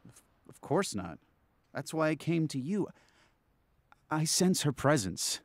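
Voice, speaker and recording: Man playing anxious, lively-pitched, haunted seeker. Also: a little slow, dry recording, clean studio sound. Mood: uncertain, earnest. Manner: hesitant, stammering, earnest. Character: anxious, obsessed male client yearning to reach a ghost. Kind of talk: audio ghost story acting.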